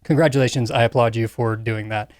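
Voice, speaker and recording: A man co-hosting a casual film discussion, piecing his thoughts together with pauses. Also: mid-range voice, steady tone, no echo, clean studio sound. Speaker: podcast co-host.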